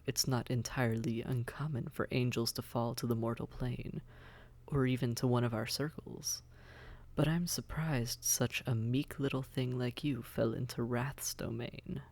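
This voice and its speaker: A man playing a suave, haughty demon prince in a roleplay audio drama, measured and condescending, a seductive tempter with a gravelly voice that often slips toward a whisper. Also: mid-range voice, a little mellow. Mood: disdainful, curious. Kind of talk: scripted roleplay audio drama, addressed to listener.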